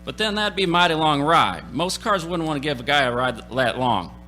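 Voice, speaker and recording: An actor playing a folksy, talkative country gas station attendant in a radio play, speaking colloquially. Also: male voice, fairly high pitch, lively intonation, fairly quick, pushed and bright, a little hiss or hum. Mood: easygoing, folksy. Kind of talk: radio play character acting. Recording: stage radio play with music.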